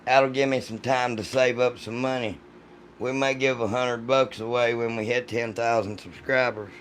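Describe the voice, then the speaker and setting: Rough-voiced man over background noise. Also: fairly even intonation, normal speed, fairly bright tone. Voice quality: deep, rough voice from vocal cord polyps. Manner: folksy, joking, foul-mouthed. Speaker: livestream host reading and answering chat. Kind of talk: casual livestream chat.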